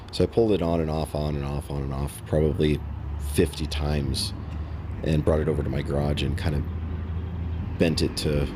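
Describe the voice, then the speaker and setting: A man casually narrating a how-to vlog in a halting, unscripted, matter-of-fact way. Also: very deep voice, darkish tone, slight background noise. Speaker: host of a DIY install vlog.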